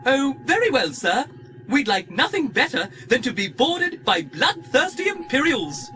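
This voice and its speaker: Prissy, high-pitched robot. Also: male voice, wildly swooping pitch, unhurried, pushed and bright, soft background music, full character voice. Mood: eagerly polite. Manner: prim, fretful, effusively polite. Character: fussy, prissy, chattering male humanoid protocol droid. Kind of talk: 1990s audio drama acting.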